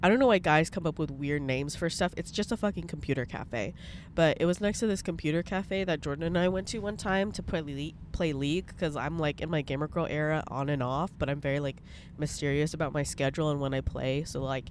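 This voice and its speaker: A woman's voice: low voice, slight background noise. Congested young woman chatting bluntly. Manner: chatty, blunt, swears freely. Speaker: vlogger. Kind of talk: casual vlog monologue. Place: parked car.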